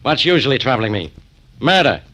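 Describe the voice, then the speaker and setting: Curt, forceful, irritable police inspector. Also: male voice, mid-range voice, sing-song, brisk. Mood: irritated. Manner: curt, grumbling. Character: gruff, irritable, harried male police inspector. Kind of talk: 1940s radio detective acting. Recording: vintage 1940s radio recording.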